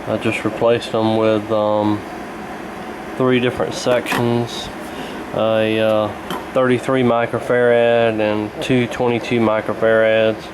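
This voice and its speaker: A man presents a repair demonstration at a slow, halting pace. His manner is casual and matter-of-fact, with plenty of pauses. There is clear steady noise behind his voice. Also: lowish voice. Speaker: radio repair hobbyist presenting.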